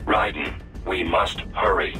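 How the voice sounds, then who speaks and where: An actor playing a formal, humorless robotic AI guide in a video game, precise and deadpan with a synthetic evenness. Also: male voice, low voice, measured pace, music in the background. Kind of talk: scripted game acting.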